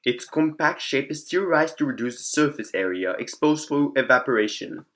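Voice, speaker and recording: A man acts out a detached researcher recording a scripted audio log. The voice sits on the high side, and the delivery is formal and matter-of-fact, like clinical notes dictated for an experiment journal. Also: expressive, normal speed, fairly bright tone, close to an everyday voice.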